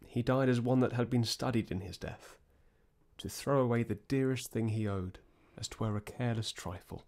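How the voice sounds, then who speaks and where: A man's voice: medium pitch, normal speed, vocal fry, darkish tone, lightly acted. A man as a dutiful, sober prince. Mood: sober, respectful. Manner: formal, sober. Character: dutiful young prince reporting to the king. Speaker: actor in an amateur radio drama. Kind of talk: Shakespearean radio drama acting.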